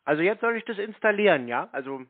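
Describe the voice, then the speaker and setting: Man, casual phone chat, animated intonation, naive manner, narrow tinny phone sound. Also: sits high, quick pace, dry recording. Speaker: host posing as a scam victim on a prank-call show. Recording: tinny, band-limited phone line.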